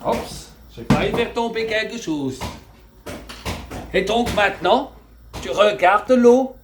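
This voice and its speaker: A woman's voice: medium pitch, animated, takes it slowly, background noise. A woman with an Alsatian accent hosting a comedic cooking demonstration, joking and chatting straight to the viewer. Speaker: cooking video host.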